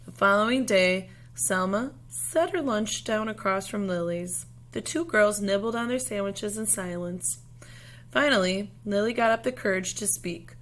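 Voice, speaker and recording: A woman reading a children's picture book aloud expressively, in measured phrases with pauses, as a storyteller speaking to young listeners. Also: medium pitch, fairly bright tone.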